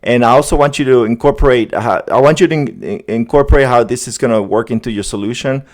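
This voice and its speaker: A man's voice: medium pitch, quick pace. Casual man presenting a tech demo. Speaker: host demonstrating an AI agent setup. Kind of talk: casual screen-demo narration.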